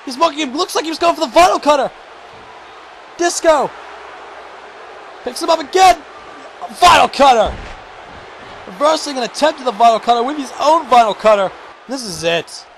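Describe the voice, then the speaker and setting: A man calling a video game wrestling match play-by-play, shouting excitedly and going hoarse. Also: moderate pace, pauses often, a little hiss or hum. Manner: shouting, high-pitched and strained, losing his voice. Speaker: commentator.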